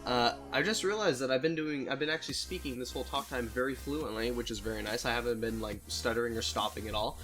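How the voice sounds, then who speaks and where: Late teen guy rambling youtuber style, candid, self-deprecating, sweary, mid pitch voice, music playing behind him. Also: fast, few pauses, a bit bright, rough recording. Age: late teens. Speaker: YouTuber hosting a solo talk show. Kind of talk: casual rambling personal storytelling. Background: background music.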